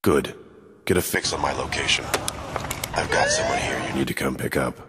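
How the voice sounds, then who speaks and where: A very deep, grim vigilante hero. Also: male voice, huge pitch swings, normal speed, long reverb tail, very noisy, heavily acted. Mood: stern. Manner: terse, stern, controlled. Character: grim, commanding male masked vigilante hero. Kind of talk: video game cutscene acting. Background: music.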